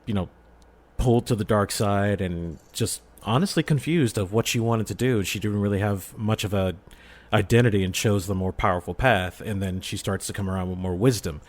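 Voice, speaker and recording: A man's voice: fairly low pitch, normal speed. A man talking casually as a podcast guest, explaining his views in an earnest, rambling way. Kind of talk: casual fan discussion.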